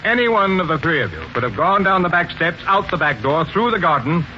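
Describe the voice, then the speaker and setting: An actor playing a brusque, authoritative police sergeant in a 1940s radio mystery. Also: male voice, a little high, expressive, brisk, natural voice. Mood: brusque, impatient. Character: stern, commanding male police sergeant investigating murders. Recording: muffled, noisy 1940s radio broadcast.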